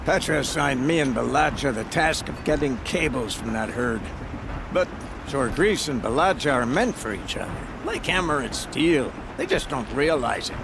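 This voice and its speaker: A chatty worried guard guy from a video game, mid pitch, fretting wryly, noisy outdoor background. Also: expressive, normal speed. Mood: sheepish, anxious. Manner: fretful, wry, talkative. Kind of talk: video game character acting. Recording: clear outdoor ambient noise behind.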